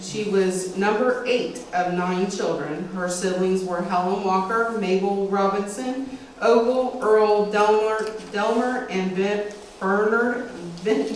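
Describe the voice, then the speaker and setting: A middle-aged American woman reads a written family history aloud at a lectern. She is picked up from a distance in a very echoey indoor room, with faint noise underneath. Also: mid-range voice. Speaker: speaker at a local historical society event. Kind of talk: reading a prepared family history script aloud with occasional asides. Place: indoor meeting room with a small audience. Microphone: distant camera, speaker at a lectern.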